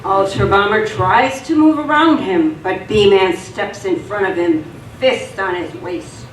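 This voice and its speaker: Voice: medium pitch, measured pace. Echoey radio play narrator. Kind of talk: scripted dramatic narration. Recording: echoey room, distant mic, faint noise.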